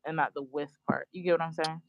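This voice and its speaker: A woman's voice: low-pitched, steady tone, fast talker, vocal fry. A woman chatting casually and hesitantly over a lo-fi phone connection as an actress in rehearsal. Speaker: actress rehearsing an audio play. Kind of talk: casual chat.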